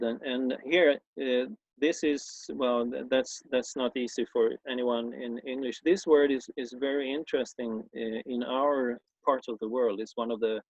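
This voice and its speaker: A man with a Swedish accent talks in a loose, explanatory way, stopping often to restart his sentences and fill gaps with ums and uhs. His voice sits at an ordinary middle pitch. The recording is dull and lo-fi, with a dry sound and no room echo. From Sweden. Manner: hesitant, halting with many fillers and repeated restarts. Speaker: former schoolteacher presenting a talk. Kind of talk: informal explanatory talk on old texts.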